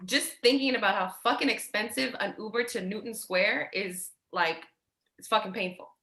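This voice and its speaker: Actress as bright-voiced weary older sister. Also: female voice, medium pitch, normal speed, reverberant. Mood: hesitant, worried. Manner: hesitant, guarded, blunt swearing. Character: tired, protective, foul-mouthed older sister. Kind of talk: naturalistic staged play reading.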